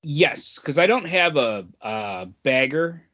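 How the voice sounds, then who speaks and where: A man talking casually as a podcast co-host, halting often and pausing between short phrases. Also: a little high, very animated, fairly bright tone, dull audio. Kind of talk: casual chat, informal beer tasting.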